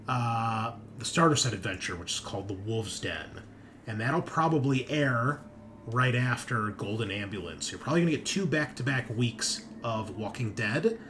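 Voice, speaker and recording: A male host of a tabletop gaming show speaks in an average-pitched voice over clearly audible background noise. His delivery is loose and conversational, rambling a little and hesitating as he goes through announcements. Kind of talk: casual chat, rambling announcements of upcoming episodes.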